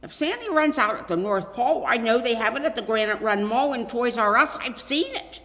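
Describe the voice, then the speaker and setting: A woman voicing an eager, wishful schoolboy in a storybook, with earnest, excitable, childlike phrasing. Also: a little high, a little fast, voice pushed up. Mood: pleading. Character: eager, wishful young schoolboy who loves soccer. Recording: echoey hall with long reverb.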